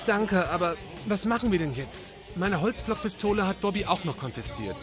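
An actor playing an anxious, naive, put-upon young detective's assistant in a comedy audio drama, whiny and flustered. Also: male voice, high-pitched, animated, moderate pace, over music. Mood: embarrassed, dismayed.

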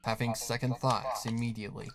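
A man's voice: mid-range voice, flat delivery, a little fast, a bit bright. A man reading a scripted horror story as a dramatic narrator. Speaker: narrator of a horror fan fiction. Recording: music behind the narration. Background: music.

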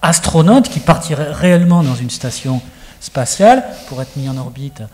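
A middle-aged man with a somewhat high voice hosts a studio interview. He speaks conversationally, setting up topics and asking questions into a handheld mic. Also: expressive, normal speed, a little mellow. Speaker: host. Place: studio set with screens.

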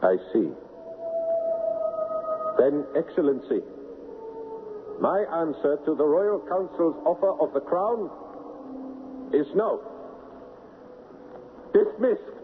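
An actor playing a proud, formal imperial prince with a stately, commanding manner in a radio drama. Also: male voice, on the high side, expressive, slow pace, long pauses, music in the background. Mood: cold refusal. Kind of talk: 1970s radio drama acting. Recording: dull, clearly noisy old radio recording.

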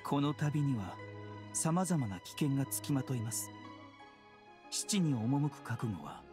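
An actor playing a calm, courteous young male doctor in a video game, speaking politely and in a measured, formal way. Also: mid-range voice, lightly acted. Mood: solemn. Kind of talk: Japanese video game voice acting. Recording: studio voice over game music. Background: background music.

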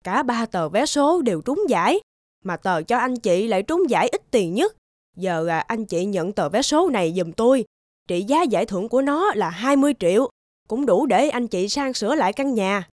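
Lively, high-voiced kind neighbour. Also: female voice, moderate pace, dry recording, studio quality. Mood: warm, insistent. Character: kind, generous, bustling neighbour woman. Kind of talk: animated moral-tale dubbing. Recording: music behind the voice.

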